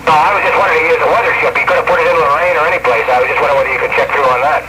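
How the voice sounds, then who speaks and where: A very noisy VHF radio transmission carries a man's somewhat high voice. He is a ship captain giving informal reports, plain-spoken and halting, feeling his way through each sentence. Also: fairly even intonation.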